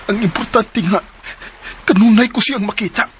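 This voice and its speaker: An actor playing a devoted, worried young man in love in a Philippine radio drama, earnest and halting, with a Cebuano Filipino accent. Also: sits high, a bit bright, faint music behind. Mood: earnest, loving.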